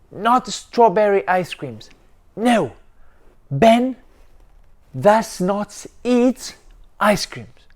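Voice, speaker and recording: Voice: mid-range voice, voice pushed up, full character voice. A storyteller doing an angry pizza-mad boy. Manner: shouting, insistent refusals. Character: angry stubborn boy who only eats pizza.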